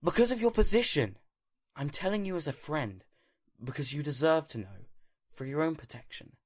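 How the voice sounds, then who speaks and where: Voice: mid-range voice, expressive, normal speed, natural voice. A performer playing an earnest, guilt-ridden young agent in a fan audio drama, anxious but resolute, sighing and pleading. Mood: alarmed, protective.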